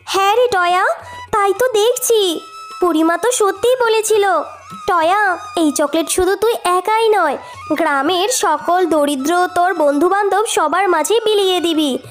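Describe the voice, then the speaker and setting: A woman's voice: very high voice, unhurried, faint music behind. A woman voicing a caring village mother who chides kindly in a cartoon story. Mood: kind, instructing. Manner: chiding but kind.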